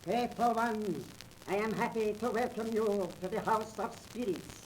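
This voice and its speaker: A ceremonious seance doorman with a fairly high voice in a 1930s radio play. He is solemn, formal and unctuous, under clear vintage recording noise. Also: unhurried. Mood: grand, ceremonious. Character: solemn, ceremonious male attendant of a fake seance house. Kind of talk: 1930s radio drama acting. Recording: noisy 1930s radio transcription.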